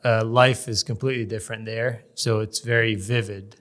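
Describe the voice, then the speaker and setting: A man from Canada, a guest in a casual interview, talking in a measured way and often pausing to search for words. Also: fairly low pitch, fairly even intonation, fairly bright tone. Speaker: podcast guest, real estate developer.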